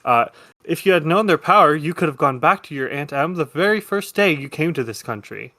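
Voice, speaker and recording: A man voicing an awkward woman who haltingly recites a good witch's lines in a fantasy game. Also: a little high, expressive, sharp tone, no room sound, pitched above the performer's own voice. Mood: awkward, reciting. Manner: halting, muttering, reading aloud.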